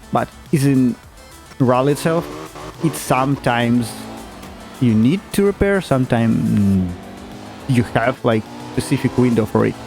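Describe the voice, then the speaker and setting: Slow, hesitant man over game music. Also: medium pitch, creaky. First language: not German. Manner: hesitant, many fillers and restarts, chuckles often. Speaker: gaming YouTuber. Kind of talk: casual gameplay commentary. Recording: game music playing underneath.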